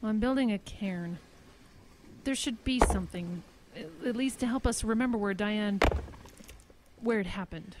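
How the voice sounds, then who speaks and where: A woman's voice: mid-range voice, a little slow, pauses often, background noise, lightly acted. A woman acting a thoughtful, haunted survivor in a horror audio drama, speaking in a reflective, tentative way. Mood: subdued, haunted.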